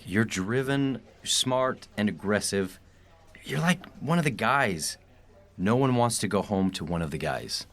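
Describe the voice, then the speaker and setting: A man's voice: lowish voice, animated, unhurried, a bit bright. A man acting a tactless, opinionated office coworker in a romantic comedy radio play, casual and teasing. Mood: blunt, nosy.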